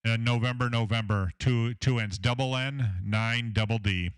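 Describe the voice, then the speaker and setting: A deep-voiced man with a Southern American accent, chatting casually about his radio audio setup from his home shack, with a lot of fillers and technical talk. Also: fairly even intonation, moderate pace, noisy background. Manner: rambling, filler-heavy, technical. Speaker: ham radio operator, station owner.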